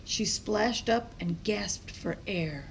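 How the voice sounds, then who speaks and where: Woman reading a kids book out loud, really gravelly fry in her voice, bit of background noise. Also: medium pitch, takes it slowly, rough recording. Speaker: storybook reader. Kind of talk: expressive children's story read-aloud.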